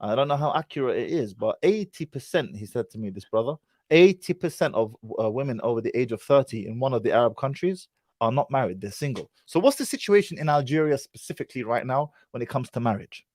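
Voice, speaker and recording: A man's voice: medium pitch, animated, quick pace, a little mellow, no echo. A man hosts a live call-in stream, his voice close and dry in the mic. He talks in a direct, brotherly, slangy way. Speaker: host. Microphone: online call.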